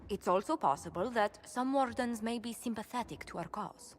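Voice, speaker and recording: An actress playing a poised ambassador and court advisor who speaks formally and politely in a fantasy video game. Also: female voice, fairly high pitch, normal speed, slight background noise, lightly acted. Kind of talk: dramatic video game acting.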